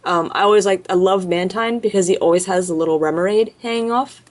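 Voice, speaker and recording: A woman casually chatting as she opens card packs, rattling off card names one after another from just off camera at an indoor table. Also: medium pitch. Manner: chatty, lists card names quickly in a row. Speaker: card-opening video host. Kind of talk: casual commentary while opening card packs.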